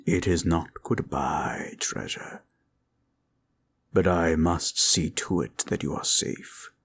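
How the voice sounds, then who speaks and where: A man playing a gentle, protective dragon in a comfort roleplay, speaking formally and tenderly in a solemn, gravelly voice. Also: slow pace, full character voice. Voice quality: deep, gravelly. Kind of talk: roleplay comfort audio acting.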